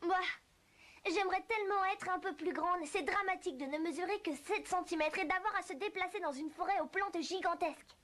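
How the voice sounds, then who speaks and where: A woman voicing a polite, bewildered little girl in an animated fairy tale, hesitant and earnest as if talking to herself. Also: extremely high pitch, brisk. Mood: earnest, troubled. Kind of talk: French animated film dubbing.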